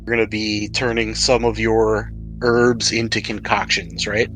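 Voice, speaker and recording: A man's voice: lowish voice, level tone, unhurried, fairly bright tone, rough recording. A man acting as game master, casually explaining rules and describing outcomes in a tabletop role-playing game. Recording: music playing behind the voice.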